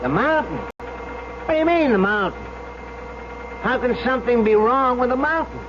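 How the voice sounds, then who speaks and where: A dull, hissy old radio recording carries a folksy cable car operator. His voice sits high, and he talks like a showman selling the ride, in the manner of a classic radio play. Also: sing-song, moderate pace. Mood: defensive. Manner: showy tour-guide patter. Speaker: actor. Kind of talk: old-time radio drama acting.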